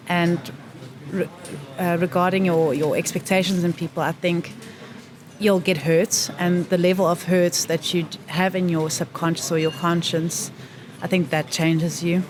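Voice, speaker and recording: Low-voiced woman, measured and thoughtful. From South Africa. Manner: thoughtful, pauses to find words. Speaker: interview guest. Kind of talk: reflective conversation. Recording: faint background noise.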